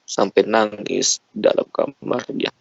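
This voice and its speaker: A man's voice: lowish voice, steady tone, a little slow, creaky, no echo, muffled recording. A man leading a congregation in an emotional prayer, pleading fervently with repeated invocations. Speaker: prayer leader.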